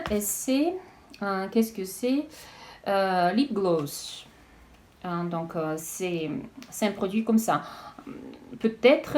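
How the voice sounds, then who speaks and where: A woman chatting casually as she unboxes beauty products, hesitant and halting with frequent restarts. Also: mid-range voice, darkish tone, slight background noise. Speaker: beauty vlogger.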